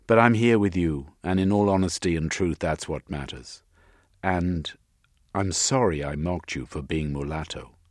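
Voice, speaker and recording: A man voicing an earnest, decent British gentleman in an audiobook, with proper, old-fashioned upper-class phrasing. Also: low voice, a little slow, no room sound, studio quality. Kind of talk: audiobook character voice.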